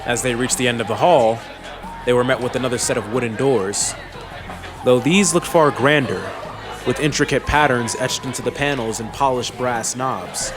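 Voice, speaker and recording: A man's voice: mid-range voice, normal speed, music in the background, a crowd in the background. A man reading scripted story narration as the narrator of a fantasy audiobook.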